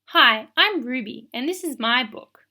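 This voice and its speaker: A high, very animated little girl played by a woman reader, bossy and full of opinions. She tells her own storybook emphatically and theatrically, with a bright edge to every line. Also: a little slow, full character voice. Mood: proud, bossy. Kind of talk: expressive children's picture book read-aloud.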